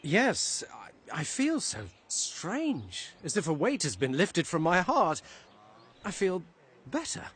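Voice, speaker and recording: An actor playing an earnest, apologetic, dutiful gatekeeper with a husky voice in an animated fantasy game. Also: male voice, sits high, slow pace, exaggerated voice. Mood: dazed.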